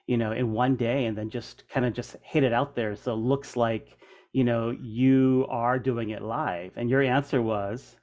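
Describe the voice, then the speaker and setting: A man's voice: medium pitch, steady tone, measured pace, a little mellow. A middle-aged man hosting a casual interview, talking informally and banteringly, with plenty of "like" and "man". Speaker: interview host.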